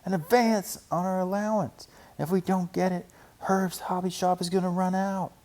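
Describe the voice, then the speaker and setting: A man reading a children's storybook, voicing an excitable, insistent little girl bear cub in a sweet, gushing way. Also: high voice, normal speed, voice pushed up, lightly acted. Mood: excited, gushing. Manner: gushing, breathless. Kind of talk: children's storybook read aloud.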